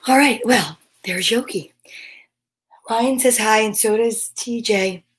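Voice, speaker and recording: A woman hosting an online story time, speaking in a playful, expressive way as if chatting casually with viewers. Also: fairly high pitch, slow, slightly rough. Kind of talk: casual livestream chat with short read-aloud passages.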